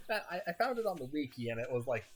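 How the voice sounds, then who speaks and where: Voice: medium pitch, very animated, fairly quick. Chatty streamer with a scratchy throat. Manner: rambling, chatty, laughs often. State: slightly sick, scratchy throat. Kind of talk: casual gameplay chat.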